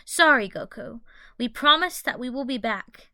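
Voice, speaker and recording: A woman's voice: high voice, lively intonation, moderate pace, bright, forceful tone, no room sound, studio quality, heavily acted. A woman voicing a courteous, airy male angel attendant in a fan audio play. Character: polite male angel attendant, breezy and proper.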